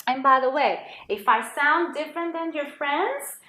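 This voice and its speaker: Puerto Rican woman teaching kids, fairly high voice, unhurried, repeats sounds for the class, echoey room. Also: a little hiss or hum. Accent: Puerto Rican Spanish. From Puerto Rico. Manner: models sounds loudly and slowly, asks students to repeat. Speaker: Spanish teacher. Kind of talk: slow, encouraging classroom lesson for children.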